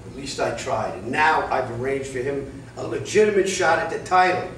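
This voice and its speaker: A man's voice: medium pitch, animated, moderate pace, fairly bright tone, natural voice. A man playing a protective, combative boxing manager in a staged play reading. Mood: confrontational. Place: indoor room, live staged reading. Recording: echoey room, distant mic, clear noise.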